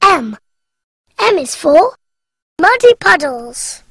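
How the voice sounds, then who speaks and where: A woman voicing a cheerful little girl piglet in a cartoon, reciting the alphabet in a bright, giggly sing-song. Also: very high-pitched, very animated, slow, noisy background, exaggerated voice.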